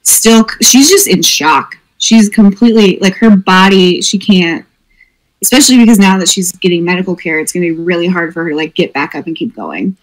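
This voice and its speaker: A woman's voice: lowish voice, fairly quick, poor audio. A woman playing in a tabletop roleplaying game, thinking aloud in a rambling way with many fillers. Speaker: player. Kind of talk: tabletop roleplay over voice chat.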